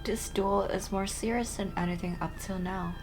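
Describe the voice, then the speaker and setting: Creaky-voiced woman streaming a game. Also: medium pitch, fairly even intonation, normal speed, music in the background. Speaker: let's play streamer. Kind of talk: casual gameplay commentary.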